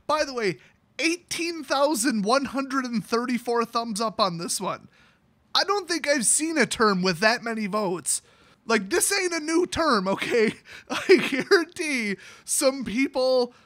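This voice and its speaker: A man's voice: very high voice, bright, forceful tone. A man hosting a casual comedic storytelling video, rambling and exclamatory in an amused way. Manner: amused, rambling, exclamatory, prone to breaking into laughter. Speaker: YouTube host.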